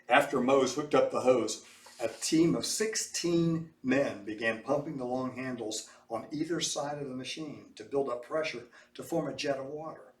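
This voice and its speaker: A man reading a children's story aloud with animated, emphatic, dramatic delivery. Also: medium pitch. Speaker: storybook reader. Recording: echoey room, distant mic.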